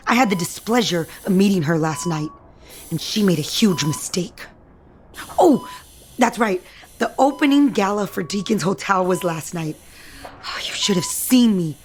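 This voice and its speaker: A woman plays a vain, vengeful daughter in an audio soap opera. She is self-assured and theatrical, at a medium pitch, with faint noise and machine beeps around her. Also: close to an everyday voice. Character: proud, vain, vengeful daughter visiting her hospitalised father.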